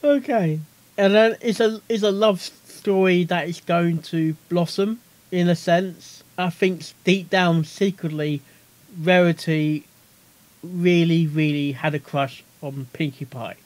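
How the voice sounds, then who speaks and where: A reaction video host talks casually in a medium-pitched voice. The commentary rambles and circles back on itself with a giggly manner, recorded dry and close. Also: fairly even intonation, slow, a little mellow, no room sound. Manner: rambling, repeats self, giggly.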